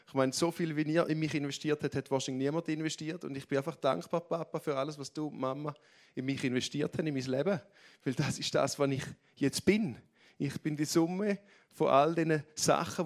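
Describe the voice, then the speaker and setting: A man with a broad Graubünden Swiss German accent, giving a heartfelt, candid talk to a church audience. He speaks in loose restarts and moves between humor and being moved. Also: a little high. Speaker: church leader speaking on stage.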